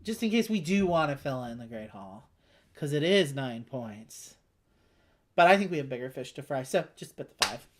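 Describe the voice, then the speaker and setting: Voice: mid-range voice, sing-song, moderate pace, slight background noise. A person chatting casually over a board game, talking through options and thinking aloud as co-host. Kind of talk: casual chat while playing a board game.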